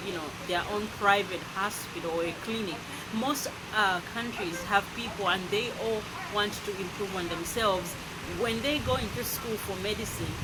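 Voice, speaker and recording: A young woman from Zambia, talking in a casual, rambling monologue full of "you know". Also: mid-range voice. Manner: rambling, many fillers such as "you know". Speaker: vlogger. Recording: loud background noise.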